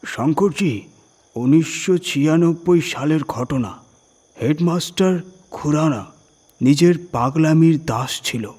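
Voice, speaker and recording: Man voicing a husky, guilt-ridden clerk, higher-pitched. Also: slow, darkish tone. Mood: grave, confessing. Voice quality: husky, trembling voice. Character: guilt-ridden head clerk confessing to a terrible secret.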